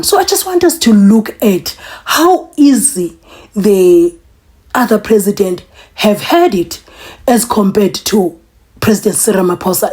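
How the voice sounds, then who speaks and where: South African woman host, worn out, earnest off-camera commentary, husky mellow voice. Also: medium pitch. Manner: earnest, conversational, with restarts. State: tired. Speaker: vlog host. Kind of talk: political commentary vlog. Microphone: voice-over, off camera.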